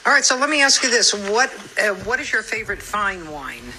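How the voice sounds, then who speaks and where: A woman's voice: medium pitch, sing-song, a little fast, pushed and bright, slight background noise, low-quality recording. A woman co-hosting a morning radio show, chatting casually and jokingly as she interviews a guest. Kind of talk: casual live interview chat.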